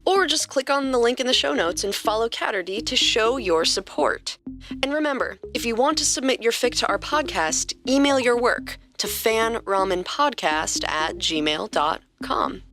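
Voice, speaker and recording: A woman hosting a podcast and reading a story aloud as expressive scripted narration. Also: on the high side, moderate pace. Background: music.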